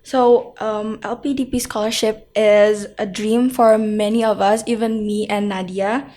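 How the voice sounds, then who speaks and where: A woman's voice: mid-range voice, level tone, measured pace, warm tone. A woman hosting an interview, reacting enthusiastically and asking questions. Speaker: host. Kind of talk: interviewing guests.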